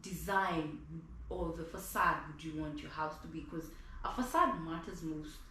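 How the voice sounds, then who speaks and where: A woman who works as an interior designer, a guest in a relaxed chat, talking with some hesitation. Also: sits low, moderate pace, very echoey, slight background noise. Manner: relaxed, hesitant with fillers, acts out quotes.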